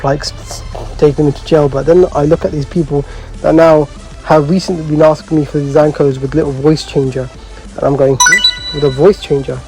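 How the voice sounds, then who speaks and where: A man with a fairly high but soft, mellow voice chats casually as a host. He talks in loose slang with some swearing and a bemused, storytelling manner. Music plays behind him. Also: low-quality recording. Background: background music.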